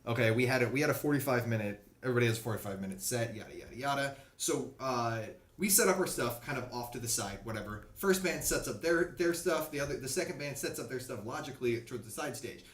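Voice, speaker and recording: A man's voice: mid-range voice, fast, few pauses. A man co-hosting a podcast, telling a loose, profane story with lots of laughter. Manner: loose, profane, laughs often, does loud mock voices. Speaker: podcast co-host. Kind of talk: casual chat, storytelling. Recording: roomy echo.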